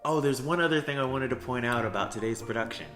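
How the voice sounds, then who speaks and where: A man's voice: mid-range voice, quick pace. A man working as a theater education associate gives upbeat, friendly explanations to students. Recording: roomy echo with soft music.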